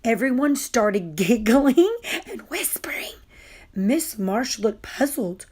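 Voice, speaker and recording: A woman reading a children's story aloud in a deliberate, expressive way. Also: fairly high pitch, slightly rough. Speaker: storybook reader.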